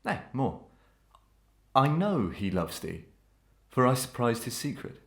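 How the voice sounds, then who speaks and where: A man playing a cold, taunting murderous husband in a Victorian melodrama, speaking in measured, formal, archaic phrases. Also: medium pitch, big pitch swings, fairly bright tone, slightly rough, heavily acted. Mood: sly insinuation. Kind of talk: Victorian melodrama audio acting.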